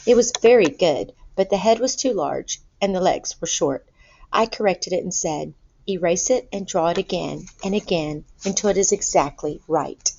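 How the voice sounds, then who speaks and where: Woman, storybook narration, expressive and deliberate, lower pitch, dull lo-fi recording. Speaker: storybook reader. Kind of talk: read-aloud children's story narration. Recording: boxy roomy sound, dull lo-fi.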